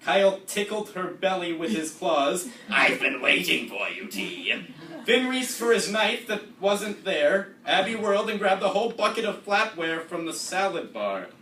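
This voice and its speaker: A man's voice: sits high, animated, moderate pace, few pauses, a bit bright, recorded from a distance. A man narrating comedic readers' theatre, recorded in an echoey, noisy live room.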